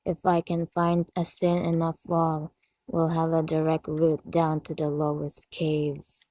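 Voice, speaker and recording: A woman playing a stoic, reserved young female pony with deadpan, understated sincerity in an amateur comic dub. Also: low-pitched, unhurried, roomy sound, far from the mic. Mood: flat, determined. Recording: music behind the voice.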